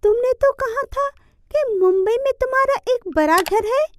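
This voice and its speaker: A woman playing a naive, gentle, long-suffering young village wife in an animated moral story, meek and plaintive. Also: extremely high pitch, normal speed.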